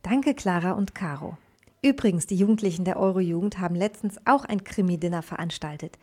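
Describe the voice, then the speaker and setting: A woman's voice: fairly low pitch, fairly quick, a little mellow. A woman presenting a radio programme in a scripted moderation style.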